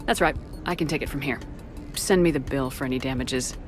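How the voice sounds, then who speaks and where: Tough space commander lady, low voice, dry and in control, music behind. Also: brisk. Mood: firm, resolute. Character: tough, steady female military commander in sci-fi. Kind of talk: video game acting.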